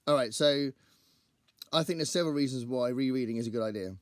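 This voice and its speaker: A man hosting his own book channel, talking casually to camera in a rambling, think-aloud way, his voice croaky from singing at a gig the night before. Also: mid-range voice, fast talker, no echo, studio quality.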